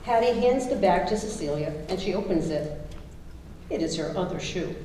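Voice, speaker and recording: Woman reading stage directions, lowish voice, distant mic, really echoey hall. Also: lively intonation, normal speed, noisy background. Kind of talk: scripted narration at a staged reading.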